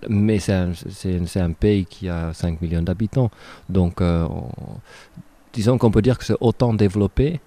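Low, dark-voiced Finnish man. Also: a little slow. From Finland. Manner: hesitant, many restarts and fillers. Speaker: dancer and choreographer. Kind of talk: radio interview.

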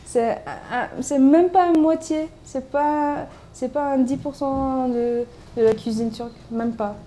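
Hesitant Turkish woman, mellow voice. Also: high voice, fairly even intonation, unhurried. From Turkey. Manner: hesitant, many fillers and restarts. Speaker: interviewee, doctoral student. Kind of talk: casual interview talk. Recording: background noise.

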